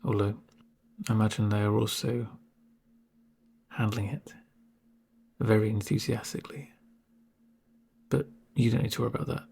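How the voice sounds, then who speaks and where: A man playing a calm medic in a soft-spoken ASMR roleplay, gentle and reassuring with dry humour and a breathy, near-whispered voice. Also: on the low side. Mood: dryly amused. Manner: gentle, unhurried, reassuring murmur.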